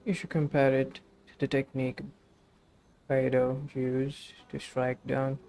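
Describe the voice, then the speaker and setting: A man's voice: mid-range voice, completely flat delivery, takes it slowly, darkish tone, soft background music. A man live streaming a game, giving laid-back, slangy casual commentary.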